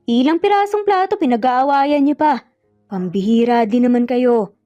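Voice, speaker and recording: A woman's voice: sits high, lively intonation, normal speed, dark tone, no room sound. Woman doing older brother, annoyed, grumbling, dry lo-fi recording, audio drama. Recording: muffled lo-fi audio, music bed behind.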